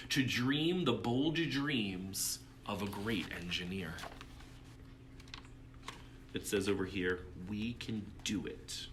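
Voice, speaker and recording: Bright, animated man reading storytime. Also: fairly low pitch, a little hiss or hum. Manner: theatrical, dramatic pauses. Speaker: children's storytime reader. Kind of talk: expressive storybook read-aloud with casual asides.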